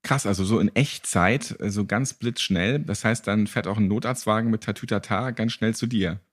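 A man's voice: on the low side, moderate pace. A man hosting a casual interview podcast in a jokey, playful way. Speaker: podcast host.

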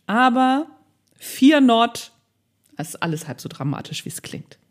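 A woman's voice: on the high side, big pitch swings, a little slow. A woman with a Northern German accent giving a casual blogging tutorial, chatty, playful and colloquial as she speaks to the viewer.

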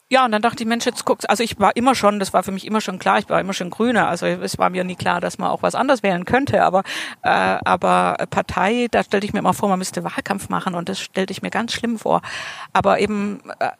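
A woman's voice: medium pitch, vocal fry, dry recording. A middle-aged woman from southern Germany, a politician chatting in an interview, full of fillers and restarts. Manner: chatty, many fillers and restarts, laughs easily. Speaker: state politician guest. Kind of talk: relaxed interview talk. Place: garden allotment outdoors.

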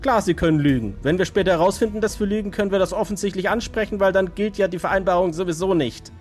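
A man's voice: high-pitched, quick pace, darkish tone, faint music behind, natural voice. A man playing a hot-tempered vampire enforcer in an audio drama, insistent and stammering as he repeats himself. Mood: indignant, defensive. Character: hot-tempered male vampire enforcer defending his loyalty. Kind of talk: German audio drama acting.

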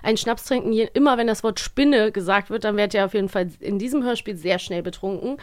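A woman's voice: mid-range voice, quick pace, clear, resonant tone, dry recording, studio quality. A woman co-hosting a podcast, chatting casually about audio dramas. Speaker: podcast co-host. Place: at a podcast table. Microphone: podcast microphone on a stand.

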